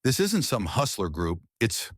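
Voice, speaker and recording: A man's voice: on the low side, wildly swooping pitch, a little slow, breathy edge, dry recording, studio quality, voice pushed up, full character voice. A man voicing a frightened young college athlete with a breathless, shaken manner in a story narration. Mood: desperate dread.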